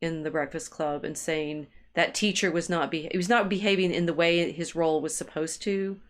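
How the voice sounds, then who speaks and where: A woman co-hosting an intellectual discussion, thinking aloud in a halting way and repeating words as she searches for phrasing. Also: low voice, fairly even intonation. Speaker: co-host of a discussion stream.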